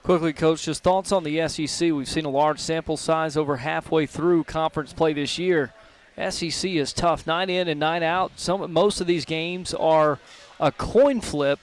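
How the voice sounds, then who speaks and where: A man hosts a live sports radio broadcast, asking long, friendly, rambling questions. His voice sits on the high side for a man. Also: fairly even intonation, normal speed. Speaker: radio show host. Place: restaurant broadcast.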